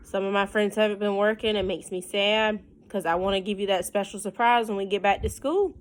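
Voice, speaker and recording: A woman's voice: medium pitch, fairly even intonation, a little fast. A woman with a Southern US accent, a teacher reading a picture book aloud to children in a sing-song way with folksy, casual asides.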